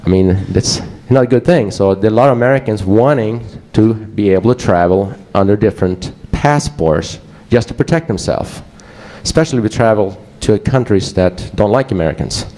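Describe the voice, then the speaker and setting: Man with a Swedish accent and a low, warm voice, presenting casually and wryly to a crowd. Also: expressive, moderate pace. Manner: casual, joking, digressive. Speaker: conference speaker. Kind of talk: presentation talk to a live audience.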